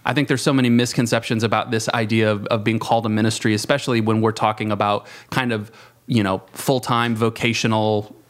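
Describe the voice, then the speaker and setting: A man in his thirties talking reflectively in a panel discussion, with halting phrasing and self-corrections. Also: on the low side, flat delivery. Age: 30s. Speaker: youth ministry worker.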